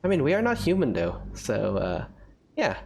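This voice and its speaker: A man's voice: mid-range voice, huge pitch swings, normal speed, gravelly, very noisy. A man giving casual commentary as he plays a video game. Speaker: gaming video host. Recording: faint game music behind the voice. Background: game music.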